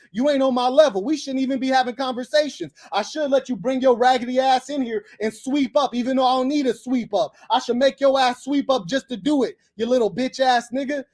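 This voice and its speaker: Man, very high voice, profane, domineering, livestream host. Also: darkish tone. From Los Angeles. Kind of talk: heated livestream argument with a caller. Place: abroad on livestream.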